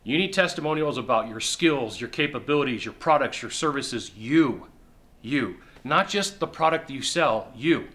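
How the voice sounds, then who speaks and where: A middle-aged man giving sales advice straight to the camera from a sofa indoors, emphatic and repetitive, with quick acted-out asides. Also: mid-range voice, animated, a bit bright. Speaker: sales coach. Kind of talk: direct-to-camera advice talk. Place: indoor living room on a sofa.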